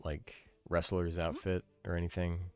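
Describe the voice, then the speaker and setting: A man in his thirties giving casual, analytical video game commentary, thinking out loud. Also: deep voice, little pitch movement, a little slow. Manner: musing, analytical, thinking aloud. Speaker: podcast host and game streamer. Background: video game music.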